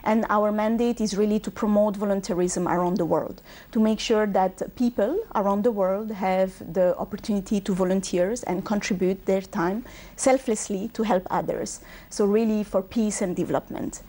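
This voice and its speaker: Mellow-voiced woman explaining her work. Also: mid-range voice, steady tone, normal speed. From Bangladesh, raised in Belgium. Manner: fluent, explanatory, with frequent "uh" and "um". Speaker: UN regional manager guest. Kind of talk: TV interview answers.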